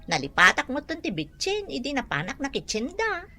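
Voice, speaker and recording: Naragsak, natinis ken nalawag a kaarruba. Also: female voice, sits high, huge pitch swings, fairly quick, creaky, sharp tone, exaggerated voice. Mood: cheerful. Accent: Ilocano. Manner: smiling, laughing often. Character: cheerful, giggly, friendly neighbourhood mother. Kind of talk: Ilocano comedy radio drama acting.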